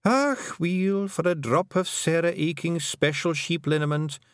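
A man putting on a tiny old fairy matriarch for a storybook reading, pitched high above his own voice. She is bossy and shrewd, with a broad lilting rural brogue. The sound is close and dry. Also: a little slow, clear, resonant tone, dry recording, close to an everyday voice. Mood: weary, wry. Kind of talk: audiobook character voice.

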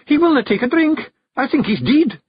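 High-pitched Scottish fairy matriarch. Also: male voice, big pitch swings, brisk, slightly rough, no room sound, lo-fi sound, full character voice. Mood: urgent, shouting. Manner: sharp and bossy, pleading when worried. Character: tiny fairy clan matriarch wife, commanding yet tender.

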